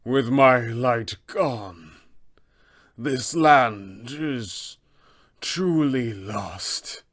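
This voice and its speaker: A man voicing a fallen angelic male abbot, solemn and grandiose, in a slow, booming, proclamatory delivery. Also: a bit bright. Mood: dying.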